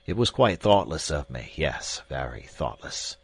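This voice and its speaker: A man voicing an absent-minded elderly professor who speaks softly and slowly, muttering to himself. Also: extremely low pitch, sing-song, breathy edge, dry recording, pitched below the performer's own voice, exaggerated voice. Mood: mild, muttering. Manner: soft, slow, mumbling to himself.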